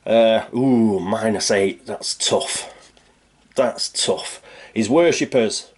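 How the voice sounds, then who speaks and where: A man's voice: lowish voice, slow pace. Stuffy-nosed man explaining a board game. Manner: chatty, rambling, thinks aloud with many fillers. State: stuffy nose from a cold. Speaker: board game channel host. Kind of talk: casual explanation of a solo board game setup.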